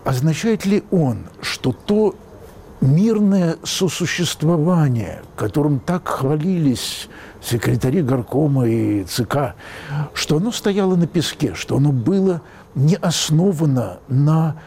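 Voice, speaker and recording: A man's voice: on the high side, animated, measured pace, mellow, slight background noise. A man interviewing, asking long, elaborate questions.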